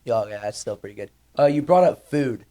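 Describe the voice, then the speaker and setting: A man's voice: mid-range voice, animated, dark tone. A teenage boy anchoring a school morning show, asking students casual, upbeat questions. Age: teens. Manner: upbeat, quick, chatty questioning. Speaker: student news anchor and interviewer. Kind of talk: casual school broadcast interviews.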